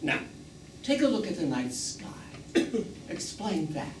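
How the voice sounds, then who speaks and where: Man acting slow, theatrical old ghost, echoey stage. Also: high-pitched, big pitch swings, heavily acted. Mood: musing, emphatic. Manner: theatrical, deliberate, musing. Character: wistful, proud old dead man, a philosophical ghost. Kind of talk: live stage play monologue addressed to audience. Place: small theatre stage, recorded from the audience. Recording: echoey theatre from far off, noisy.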